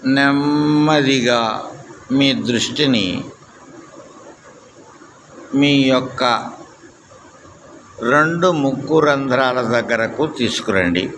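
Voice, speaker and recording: Very slow-speaking man guiding meditation over noise. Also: mid-range voice, rough recording. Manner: calm, deliberate, with long pauses. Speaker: yoga nidra instructor. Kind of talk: guided meditation instruction. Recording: clear steady background noise.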